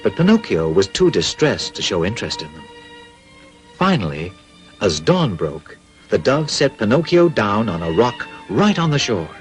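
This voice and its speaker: Animated male storybook narrator. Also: fairly low pitch, moderate pace, soft background music.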